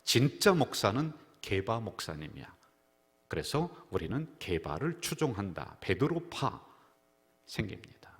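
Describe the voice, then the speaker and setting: Low-voiced pastor preaching in an echoing sanctuary. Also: male voice, very animated, unhurried, a bit bright. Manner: storytelling preacher who acts out quoted voices. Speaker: senior pastor. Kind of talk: sermon. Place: church sanctuary. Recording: reverberant church hall.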